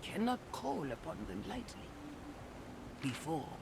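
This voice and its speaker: An actor playing a stern, skeptical male elder in a fantasy game, measured and formal as he questions. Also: a little high, wildly swooping pitch, vocal fry, heavily acted. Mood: stern, doubting. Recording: noisy ambient background.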